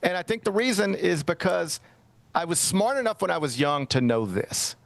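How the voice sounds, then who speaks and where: A man's voice: high-pitched, animated, normal speed, creaky, dry recording. A middle-aged man hosting a sports talk show, chatting casually and telling a rambling, self-mocking story. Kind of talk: casual radio-style chat.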